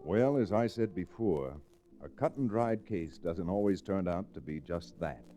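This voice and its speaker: An actor playing a level-headed, wry lawyer hero in an old-time radio western. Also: male voice, low voice, lively intonation, a little slow, background noise. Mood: wry narration. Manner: wry, reasoning.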